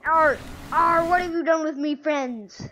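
Casting: indignant pirate robot fox, acted by an amateur, high voice, drawn-out arrs, game skit, faint noise. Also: fairly even intonation. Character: growling pirate animatronic fox, combative and indignant. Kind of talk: improvised fan role-play of a horror game.

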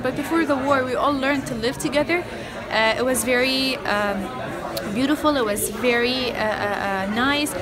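A young woman from Syria giving a sincere, hesitant message to camera in a large assembly hall. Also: a little high. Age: young adult. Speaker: youth delegate. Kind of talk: recorded greeting to camera.

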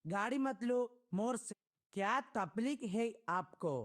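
A man voices short game callouts and catchphrases. He spaces the words out at a slow pace, as lines from a voice pack. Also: high-pitched, lively intonation, a bit bright.

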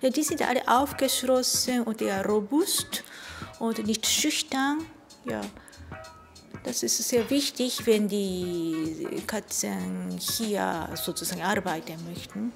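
A middle-aged woman from Japan with a Japanese accent, answering interview questions in halting phrases. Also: mid-range voice, gravelly, faint music behind. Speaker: café owner. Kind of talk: on-camera interview. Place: indoor café interview. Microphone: handheld interview microphone.

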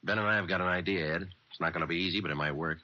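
A man's voice: low-pitched, racing, pushed and bright. An actor playing a stoic, dogged American police detective narrating his case in terse, matter-of-fact radio-drama style. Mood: businesslike. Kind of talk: 1940s-50s radio crime drama, clipped narration. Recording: dull vintage radio recording, music.

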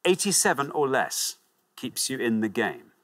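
A man's voice: medium pitch, sing-song, normal speed, a bit bright. A middle-aged man hosting a studio quiz show with a lapel mic, chatty and encouraging. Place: large TV studio with audience.

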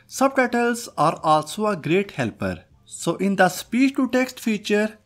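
A man presenting a software tutorial as host, reading a scripted narration. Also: high voice. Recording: music behind the voice. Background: background music.

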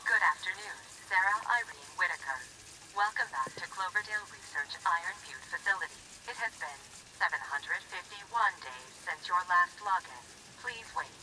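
A woman voicing a polite, emotionless computer access assistant, making formal, measured automated announcements in a video game. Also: lowish voice, animated. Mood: neutral, formal. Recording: terminal speaker under loud ambient noise.

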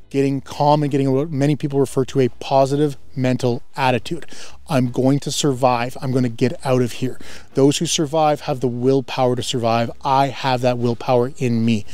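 A man in his thirties from Central Ontario, a survival instructor explaining survival basics to camera, earnest and steady, outdoors in bare woods on a lapel mic. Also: mid-range voice, clean tone, no room sound. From Central Ontario, Canada.

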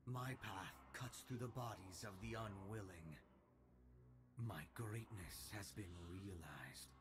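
Slow, low, brooding shadow assassin. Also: male voice. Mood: grandiose, self-assured. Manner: cold, menacing, measured proclamations. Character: arrogant, brooding young male shadow assassin, ruthless and grandiose. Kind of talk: theatrical video game voice lines. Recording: game clips over loud music.

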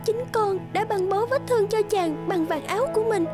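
A woman's voice: extremely high pitch, normal speed. A woman voicing a sweet, determined young princess in a fairy-tale cartoon, girlish and earnest. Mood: insistent. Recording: music under the voice.

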